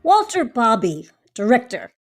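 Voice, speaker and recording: Higher-voiced woman hosting, faint music. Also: wildly swooping pitch, measured pace. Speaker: host, interviewer. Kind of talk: show announcing and interviewing.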